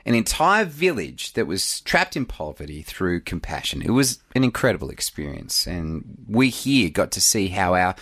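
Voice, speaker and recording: A man's voice: sits low, big pitch swings, moderate pace, hardly any pauses, fairly bright tone, breathy edge. A man hosting a church podcast, introducing his guest with enthusiasm. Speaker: podcast host.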